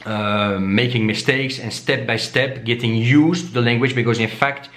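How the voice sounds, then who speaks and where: A man whose first language is Dutch, casually talking to camera as a vlogger, often asking "okay?" and filling pauses with "uh". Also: mid-range voice, steady tone, a bit bright, echoey room. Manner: repeats himself and tags "okay?" often, many "uh" fillers.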